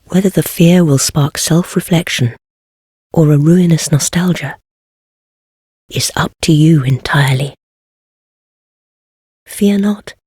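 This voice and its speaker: A woman playing a wry, world-weary heretical witch in a fantasy game, speaking in measured, archaic phrases with gentle teasing. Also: deep voice, no echo, clean studio sound, heavily acted. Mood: grave, gentle. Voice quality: husky, breathy. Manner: measured, archaic formal speech, softly teasing. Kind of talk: scripted fantasy game voice acting.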